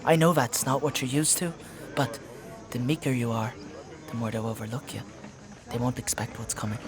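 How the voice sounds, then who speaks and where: An actor playing a wary, streetwise young companion in a dark fantasy audio drama, talking informally and nudgingly. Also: medium pitch, steady tone, moderate pace, music in the background, close to an everyday voice. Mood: hushed, coaxing.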